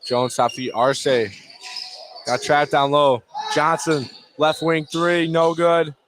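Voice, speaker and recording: A man's voice: fairly high pitch, flat delivery, slow pace. Male basketball play-by-play man in noisy gym. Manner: clipped, fragmentary calls of the action. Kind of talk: live sports radio play-by-play commentary. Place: indoor high school gym, courtside.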